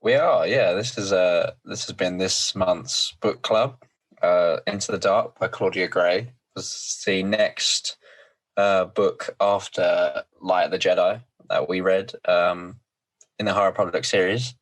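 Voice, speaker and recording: A man hosting a podcast, a little croaky, talking enthusiastically with frequent ums. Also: low-pitched, fairly even intonation, measured pace. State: slightly croaky voice. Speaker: podcast host. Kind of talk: casual podcast chat.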